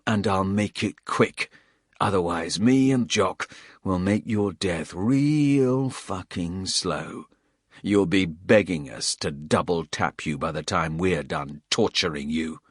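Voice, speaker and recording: A man voicing a hard, menacing male soldier who interrogates a prisoner with slow threats. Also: low-pitched, no echo, clean studio sound.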